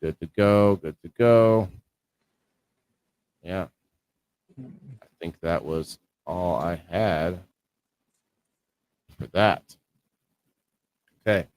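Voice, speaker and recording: A man co-hosting a casual livestream about indoor growing, talking in a low-key, fairly monotone way. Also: very slow, pauses often, no echo. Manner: low-key, self-described monotone delivery. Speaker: co-host of a live-streamed indoor growing show.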